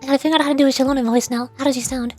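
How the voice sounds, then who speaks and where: A woman's voice: sits high, fairly even intonation, rapid-fire, a little mellow, faint music behind. A woman streamer giving casual, playful, rambling commentary while she plays a video game.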